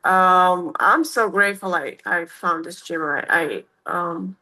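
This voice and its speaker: A woman's voice: on the low side, slow pace, pushed and bright, poor audio. A woman in her thirties from Romania with a Romanian accent, answering interview questions in a casual, hesitant way with many fillers and restarts. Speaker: MMA fighter, guest.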